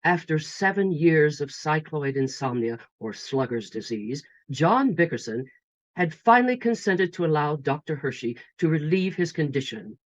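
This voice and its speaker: A man's voice: a little high, animated, normal speed. A man narrating as announcer of an old-time radio show, in scripted style, with slightly muffled sound.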